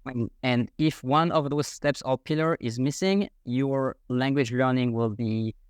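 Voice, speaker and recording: French-accented man, mid pitch, methodical explainer, dry recording. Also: fairly even intonation, a little slow, clean tone, dry recording. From France. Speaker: guest, French learning coach. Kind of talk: explanatory interview answers.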